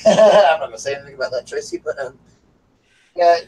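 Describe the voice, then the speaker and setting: A raspy-voiced man with a Southern US accent chatting casually as the host of a live stream, now and then breaking off to call his dogs. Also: a little high, expressive, normal speed, a little mellow. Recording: far from the mic with faint background noise.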